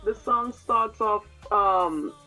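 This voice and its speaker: A woman with a British-tinged Jamaican accent, chatting as an interview guest in a studio, soft-spoken and halting, with many fillers and easy laughter. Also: mid-range voice, soft background music, low-quality recording. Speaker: reggae singer, guest. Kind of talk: casual interview chat. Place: music studio.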